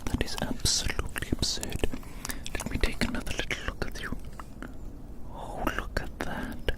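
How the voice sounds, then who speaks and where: Whispering, gloating giant in ASMR roleplay. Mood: playful, gloating. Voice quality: breathy, unvoiced whisper. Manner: repeats phrases slowly, savouring, menacingly playful. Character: gloating giant toying with tiny prey before eating it. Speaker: ASMR performer.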